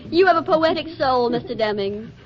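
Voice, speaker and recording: A woman's voice: high-pitched, lively intonation, fairly quick, over a noisy crowd. A woman playing a practical, wry young housewife and hostess in a 1940s radio drama. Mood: gracious. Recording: dull, noisy 1940s radio recording.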